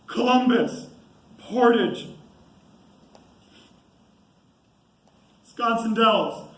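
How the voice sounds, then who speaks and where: A young man acting in a student stage drama as an anguished army sergeant and husband, with an emphatic, halting delivery. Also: sits high, fairly bright tone, heavily acted. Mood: urgent, wistful. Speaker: student actor. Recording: echoey stage, distant camera, background noise.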